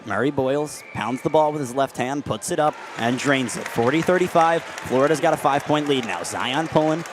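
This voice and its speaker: A man's voice: medium pitch, noisy background. Young male play-by-play announcer, arena crowd. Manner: rapid, vivid play calling. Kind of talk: radio basketball play-by-play. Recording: radio call with loud arena crowd. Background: arena crowd and bouncing ball.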